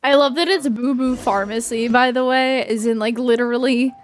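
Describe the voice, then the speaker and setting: A woman's voice: fairly high pitch, normal speed. A woman gamer giving casual, amused, wry commentary on a game she is playing. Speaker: gaming streamer. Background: game music.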